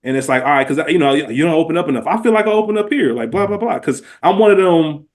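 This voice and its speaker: A man's voice: fairly high pitch, animated, fast. A man with an American accent talking earnestly and casually in slangy, reflective podcast conversation. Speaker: podcast guest or co-host.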